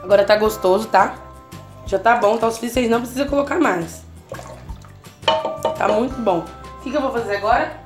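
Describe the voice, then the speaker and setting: A woman with a Brazilian Portuguese accent walks viewers through a simple recipe in her own kitchen, keeping up a steady, chatty patter. Her voice sits on the higher side, and soft music plays faintly underneath. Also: brisk, pauses often. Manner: chatty, constantly checking in with the viewer. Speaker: cooking channel host. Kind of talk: casual home cooking tutorial. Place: home kitchen, at the stove.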